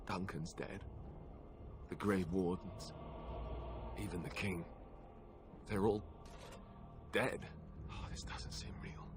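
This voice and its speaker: An actor playing an earnest, anxious young warrior in a video game, speaking in a halting, emotional way. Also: male voice, low-pitched, fairly even intonation, background noise. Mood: shocked, grieving. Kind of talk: video game cutscene acting.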